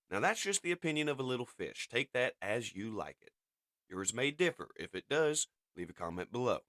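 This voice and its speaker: A man with a fairly low voice reads and reviews comics. He moves between dramatic narration and casual commentary, recorded dry without room sound. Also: fairly bright tone, no room sound.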